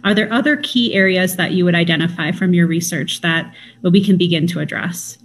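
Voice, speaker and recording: A woman in her thirties moderating a webinar panel over a video call, asking polite, quick questions. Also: fairly low pitch, a little hiss or hum.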